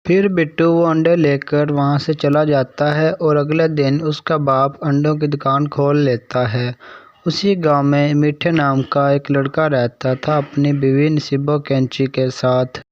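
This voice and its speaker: A man's voice: fairly high pitch, little pitch movement, measured pace. A man reading scripted narration for a cartoon story. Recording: dry, slightly dull sound.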